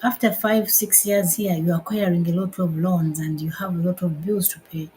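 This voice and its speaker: A woman from Uganda in her fifties, hosting a casual live stream from home, unhurried and repeating her phrases as she restarts them. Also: on the low side, steady tone, dark tone, slight background noise, low-quality recording. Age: 50s. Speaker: livestream host.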